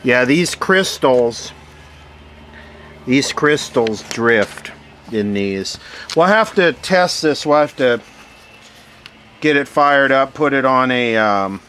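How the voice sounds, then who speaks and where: A man giving casual, hands-on unboxing commentary, musing aloud in short phrases with pauses between them. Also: medium pitch. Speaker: vlogger unboxing vintage radio gear. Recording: steady background noise.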